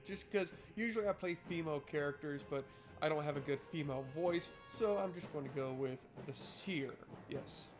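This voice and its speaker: Higher-voiced man hosting a gaming video. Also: normal speed. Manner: informal, playful. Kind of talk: casual let's play commentary, reading game text aloud. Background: game music.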